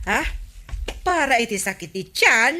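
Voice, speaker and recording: An actress playing a fussy village shopkeeper in a radio comedy drama. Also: female voice, on the high side, big pitch swings, a little slow, pushed and bright, slight background noise, full character voice. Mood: questioning.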